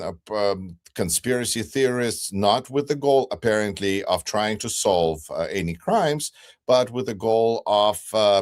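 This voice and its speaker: A man with a lowish voice and Russian as his first language talks politics in a loose, conversational way. He hedges and restarts often, filling gaps with ums and uhs while he works toward his point. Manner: hesitant, many fillers and restarts. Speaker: guest commentator. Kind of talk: casual political talk. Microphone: remote livestream call.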